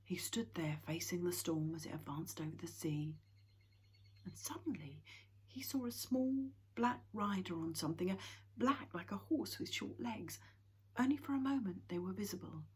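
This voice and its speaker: A woman reading a children's storybook aloud in a hushed, often whispered way. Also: sits low, breathy edge.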